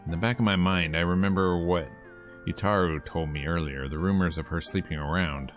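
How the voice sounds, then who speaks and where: A man's voice: sits low, fairly even intonation, fairly quick, soft background music. A man streaming a visual novel, reading the narration aloud and adding casual commentary.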